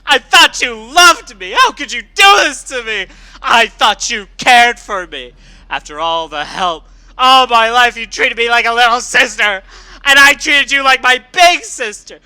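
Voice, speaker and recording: A storyteller voicing a young female pegasus filly who is heartbroken, wailing and shouting through tears. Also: medium pitch, monotone, moderate pace, hardly any pauses, breathy edge, dry recording, pitched below the performer's own voice, poor audio.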